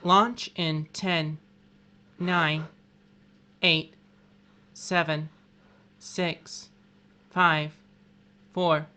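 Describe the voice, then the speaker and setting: A woman's voice: sits low, flat delivery, extremely slow, pauses often, bright, forceful tone, exaggerated voice. A woman playing a formal, impassive automated missile launch announcer in an audio drama. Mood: impassive countdown.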